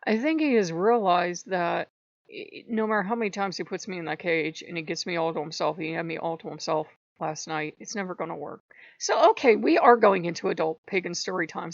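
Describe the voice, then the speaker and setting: A hoarse woman hosting her channel, telling a story in a rambling, casual way and stopping to clear her throat. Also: lowish voice, fairly quick, no echo. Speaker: channel host. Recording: dull lo-fi recording.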